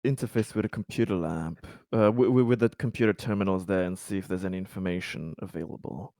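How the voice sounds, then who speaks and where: A man's voice: low voice, fast, vocal fry, warm tone, no echo, studio quality. A man casually chatting as a player in a tabletop roleplaying game.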